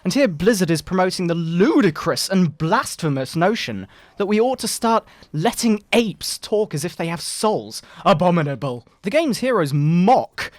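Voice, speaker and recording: A man voicing a pompous, sanctimonious fundamentalist preacher parent in a mock-dramatic sermon, with theatrical, drawn-out emphasis. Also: sits high, very animated, no echo. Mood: pompous outrage. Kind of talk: mock-dramatic reading of a moral-panic sermon. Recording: background video game music.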